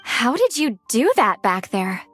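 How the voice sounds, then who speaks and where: A woman's voice: a little high, sing-song, a little slow, a bit bright. A woman playing a dry, thoughtful young female shaman in a video game. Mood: wry, curious. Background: background music.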